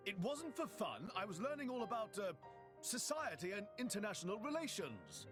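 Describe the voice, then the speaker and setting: A man's voice: sits high, sing-song, moderate pace. A British man playing a brash, showy exiled prince who flusters easily, in a video game cutscene. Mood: defensive. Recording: music behind the voice.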